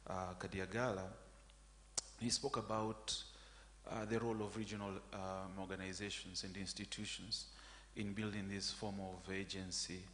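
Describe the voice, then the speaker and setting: A man's voice: sits low, level tone, normal speed, a bit bright. A man from Tanzania giving a friendly academic panel talk, hesitant with fillers. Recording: echoey hall with faint hum.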